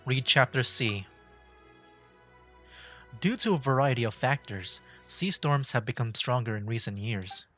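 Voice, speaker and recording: A man's voice: mid-range voice, expressive, a little slow, long pauses, pushed and bright. A man streaming a story game, chatting casually and reading the on-screen text aloud, rambling and cutting himself off. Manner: rambling, self-interrupting, laughs at himself. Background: game music.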